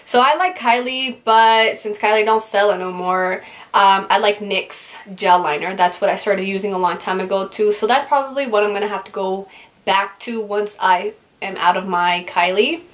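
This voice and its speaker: A woman's voice: mid-range voice, fairly even intonation, moderate pace. A young woman in her twenties, originally from California and raised in Utah, answers viewer questions in a casual, chatty vlog, filling her talk with "like". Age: 20s. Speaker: beauty vlogger. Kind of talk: casual get-to-know-me Q&A.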